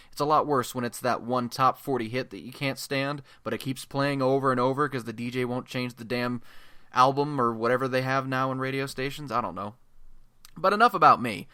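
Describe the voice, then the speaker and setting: A man's voice: mid-range voice, bright, forceful tone, dry recording. A man playing a chatty, self-deprecating young American amateur radio blogcaster in a superhero radio play, talking in an informal, wisecracking podcast style. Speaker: radio show host.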